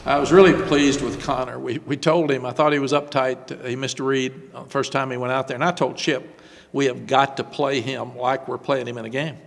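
An older man, a head football coach, answering postgame press questions at a desk microphone, halting and repeating words before quick emphatic runs. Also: medium pitch, level tone, gravelly, big-room sound. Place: press room before a sponsor backdrop. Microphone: desk microphone on stand.

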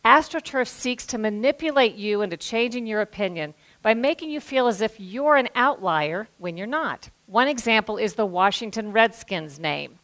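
A middle-aged woman with an American accent gives a prepared stage talk in a measured, articulate way, speaking as a journalist. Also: medium pitch, fairly bright tone. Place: auditorium stage before an audience. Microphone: headset.

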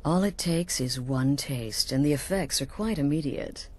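An actress playing a cool, scheming assassin leader in a video game, measured, confident and conspiratorial. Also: female voice, sits low, a little mellow. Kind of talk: video game character dialogue.

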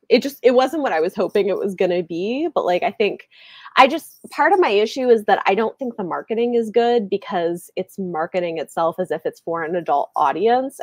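A woman's voice: mid-range voice, darkish tone, no echo. A woman in her thirties chatting casually about books on a video call, hedging as she goes and often saying "like". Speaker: book channel host. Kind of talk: casual book discussion on a live video call. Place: small indoor room with bookshelves. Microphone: earbuds on a video call.

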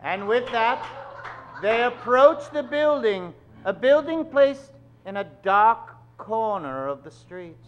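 A man's voice: very high-pitched, big pitch swings, slow pace, fairly bright tone, muffled recording, exaggerated voice. A man playing a melodramatic narrator of a comic old-time radio mystery, telling the story theatrically. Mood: dramatic. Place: theatre stage.

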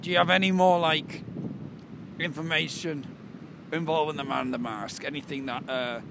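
A man in his twenties acting as a flustered police detective, asking halting, repetitive questions in an improvised comedy film. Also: a little high, fairly even intonation, creaky. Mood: awkward questioning. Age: 20s. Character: flustered male police detective investigating murders. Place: outdoors by a rocky seafront. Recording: noisy outdoor camera audio.

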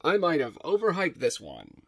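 Ship AI for sci-fi radio play male actor: formal, deferential, higher register, very dry recording. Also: huge pitch swings, moderate pace, gravelly, breathy edge, no room sound, full character voice. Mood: matter-of-fact. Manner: formal, servile, precise. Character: polite ship's AI computer, deferential and apologetic. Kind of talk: audio drama table read.

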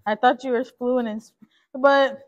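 Curious young woman, slightly high voice. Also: fairly even intonation, a little slow, muffled recording. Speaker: sitter and guest. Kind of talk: casual chat. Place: small home art studio.

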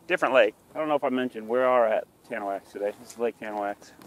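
A man's voice: medium pitch, fast, rough recording. A man in his thirties, a fishing vlogger, talking casually outdoors on a small boat. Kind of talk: casual outdoor vlog talk. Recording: outdoor noise from boat on water.

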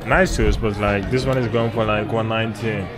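A man's voice: lowish voice, fairly even intonation, normal speed. A man vlogging as he walks through a shopping mall, rambling casually to himself and to people he passes. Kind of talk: casual walking commentary. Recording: loud music in a busy mall.

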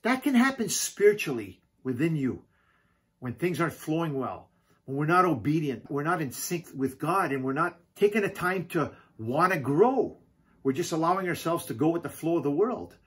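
A middle-aged man preaching a video sermon at home, animated, gesturing and calling out hallelujah often. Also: fairly high pitch, normal speed, husky. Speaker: pastor. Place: home living room, indoors.

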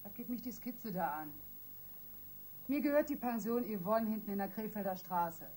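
A woman's voice: medium pitch, animated, moderate pace, a little mellow, lightly acted, low-quality recording. A woman playing a streetwise, defiant suspect in a radio crime drama, curt and dismissive. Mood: defiant, guarded. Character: defiant, streetwise woman under police questioning.

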